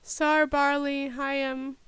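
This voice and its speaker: High-pitched, halting woman reading aloud. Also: level tone, a bit bright, no echo. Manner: halting, self-correcting, muttering to herself and sounding out words. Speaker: podfic reader. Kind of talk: informal rehearsal of a read-aloud, checking pronunciations.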